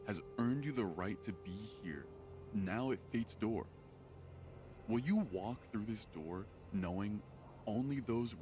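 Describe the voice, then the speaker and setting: A man acting a grave, patient mentor of a secret order in an audio drama, speaking in measured, formal phrases. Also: on the low side, lightly acted. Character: solemn, patient male mentor of a secret circle.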